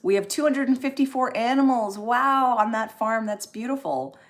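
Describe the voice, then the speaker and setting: A woman, hosting a casual live stream and chatting in an encouraging, expressive way as she reads and answers comments. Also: on the high side, normal speed.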